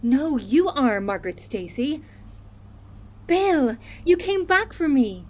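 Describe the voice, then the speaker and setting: A woman's voice: high voice, very animated, takes it slowly, a little mellow, exaggerated voice. A woman playing an unhinged, dreamy and menacing recluse in an audio horror drama. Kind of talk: audio horror drama acting with musical singing.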